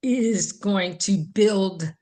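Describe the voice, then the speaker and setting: A woman co-hosting a podcast, speaking thoughtfully and hesitantly with frequent pauses. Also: medium pitch, mellow. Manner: slow, thoughtful, hesitant with many ums. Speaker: podcast co-host. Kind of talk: casual chat.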